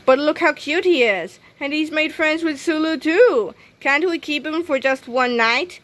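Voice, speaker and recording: A woman voicing a cautious, soft-hearted schoolboy with a hesitant, pleading way of talking, in a storybook reading. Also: sits high, unhurried, no room sound, voice pushed up.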